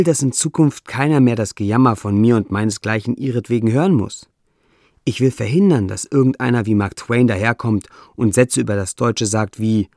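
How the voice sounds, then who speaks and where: A man with a non-native German accent that struggles with umlauts, reading his own essay in a measured, deliberate way with dry, self-ironic humor. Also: lowish voice, darkish tone, no echo. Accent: non-native German, trouble with umlauts.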